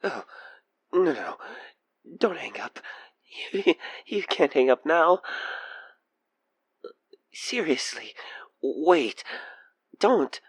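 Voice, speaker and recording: A man playing an obsessed, manipulative killer who coaxes the listener in an intimate audio roleplay, with halting, breathy delivery that slips into whispers. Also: fairly high pitch, sing-song, no echo, full character voice. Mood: frantic pleading. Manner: hesitant, halting, breathy sighs and whispers sliding into menace. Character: obsessed male killer, coaxing and manipulative, feigning frightened innocence.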